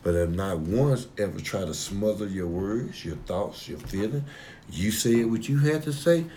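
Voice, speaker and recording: Deep-voiced man, emphatic and preachy. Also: expressive, a little slow, mellow, a little hiss or hum. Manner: emphatic, profane, rambling, with a preaching tone. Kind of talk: heated personal conversation.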